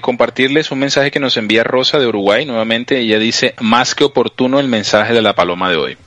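A man hosting a live radio talk show, speaking earnestly with pauses and small restarts. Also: lowish voice, sharp tone, no echo. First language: Spanish.

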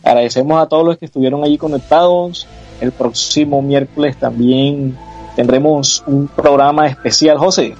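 A man hosting a live talk show, smooth and agreeable as he thanks the listeners. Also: on the high side, fairly even intonation, normal speed, darkish tone, muffled recording, faint music behind. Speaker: host. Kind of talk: radio show chat.

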